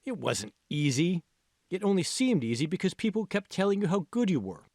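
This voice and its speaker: Man acting emphatic young pep-talking friend, swooping pitch. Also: sing-song, a little fast, darkish tone, no echo, studio quality, exaggerated voice. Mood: insistent encouragement. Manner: emphatic, prodding, persuasive. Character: confident, encouraging young male college friend, insistent coach. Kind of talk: audiobook character dialogue.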